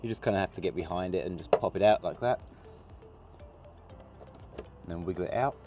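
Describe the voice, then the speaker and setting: A man with a gravelly voice from a cold, casually talking through a hands-on installation while he works. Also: low-pitched, brisk, music in the background. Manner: relaxed, chatty, talks while working with hands. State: hoarse from a cold. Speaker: product installer demonstrating. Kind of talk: casual how-to demonstration.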